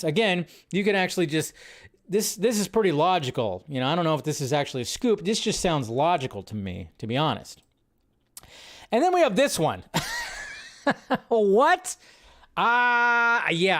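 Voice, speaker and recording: Guy rambling thru his live stream, kinda high voice, keeps restarting his sentences. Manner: rambling, thinking aloud with restarts. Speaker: host. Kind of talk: casual solo live-stream commentary.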